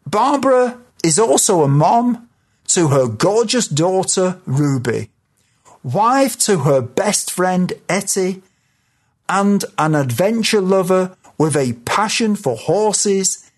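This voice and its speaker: Female podcast host, slow, animated reading of the intro. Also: mid-range voice. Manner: measured, scripted delivery.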